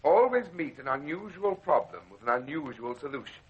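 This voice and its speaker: An actor playing a suave, formal, pompous crime boss in an old radio drama, coolly scheming in a theatrical manner. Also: male voice, on the high side, big pitch swings, a little fast, a little mellow. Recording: dull vintage radio recording.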